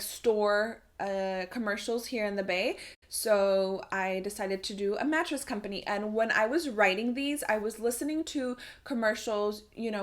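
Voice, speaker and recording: A young adult woman talking in a chatty, explanatory way, like a casual tutorial vlog from a video creator. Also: medium pitch.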